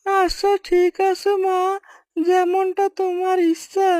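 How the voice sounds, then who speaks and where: A woman's voice: extremely high pitch, completely flat delivery, slow, a little mellow, dry recording, studio quality. A woman voicing a young baby snake in a children's cartoon, childlike, clingy and earnestly pleading. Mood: obedient.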